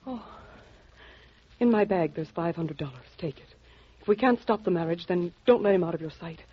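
An actress playing an anxious wife in an old radio detective drama, husky and earnest in a melodramatic, pleading way. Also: female voice, lowish voice, animated, moderate pace. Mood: urgent, fearful. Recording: muffled old radio recording.

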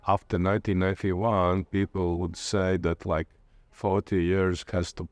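A Latvian man with a low voice answers in an interview. He speaks conversationally in accented English, hesitating and restarting as he looks for words. The sound is dry, with little room in it. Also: level tone, measured pace, a little mellow, no room sound. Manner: hesitant, searching for words with repeated starts. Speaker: interviewee, literature lecturer.

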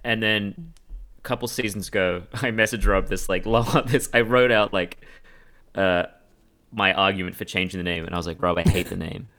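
Bright-voiced man chatting casually. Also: low-pitched, steady tone, moderate pace. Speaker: podcast co-host. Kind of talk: casual chat. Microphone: internet call.

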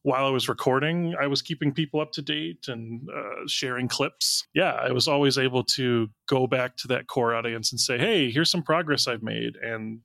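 American man, bright voice with creaky edge. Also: medium pitch, moderate pace, hardly any pauses, dry recording. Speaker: interview guest, writer and audio drama director. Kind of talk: casual interview chat.

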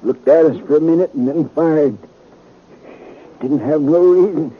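An actor playing a badly wounded man in a 1950s Western radio drama, speaking in halting, air-filled breaths with bewildered grievance. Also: on the high side, slightly rough, phone-quality sound. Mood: bitter, anguished. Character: wounded frontier robbery victim, bewildered and aggrieved, gasping. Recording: thin, noisy 1950s radio recording.

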